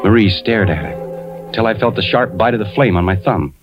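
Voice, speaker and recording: Casting: male actor as anguished American investigator husband, low voice, tense suspense narration, dull vintage radio sound. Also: lively intonation, moderate pace, close to an everyday voice. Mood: brooding, tense. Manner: tense, dramatic delivery. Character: suspicious, anguished husband, an insurance investigator narrating his story. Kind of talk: 1940s radio drama acting, first-person suspense narration. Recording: dull 1940s radio recording with music.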